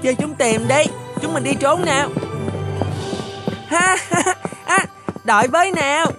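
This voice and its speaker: A woman's voice: high voice, unhurried. A woman voicing a tiny, playful magical bean child in a fairy-tale cartoon. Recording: music behind the voice.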